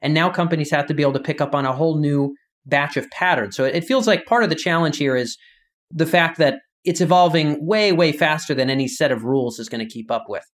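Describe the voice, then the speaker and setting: A man's voice: on the high side, fast talker. A man hosting an interview, talking conversationally and repeating words as he starts sentences. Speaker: podcast host.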